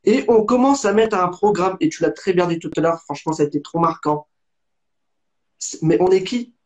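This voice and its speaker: High-voiced young man in rambling discussion. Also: normal speed. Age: 20s. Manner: rambling, searching for words, many restarts. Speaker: interviewer. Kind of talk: conversational religious discussion.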